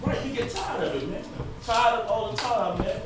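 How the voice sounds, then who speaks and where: A man's voice: high-pitched, lively intonation, normal speed. An actor playing a weary husband who talks emphatically and informally to his buddies in a stage play. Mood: exasperated. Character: weary but lively husband, tired of nagging questions. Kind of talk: theatrical stage comedy-drama dialogue. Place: stage in a theater. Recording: distant echoey stage with room noise.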